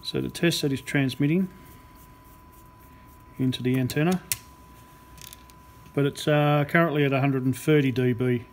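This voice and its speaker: A man's voice: mid-range voice, fairly even intonation, moderate pace, pauses often. A man giving a hands-on repair demonstration, explaining each step as he works. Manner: explanatory, talking while working. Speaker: radio repair technician presenter. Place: indoor electronics repair workbench. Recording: noisy workshop recording with clicks. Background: workshop noise, clicks, test tones.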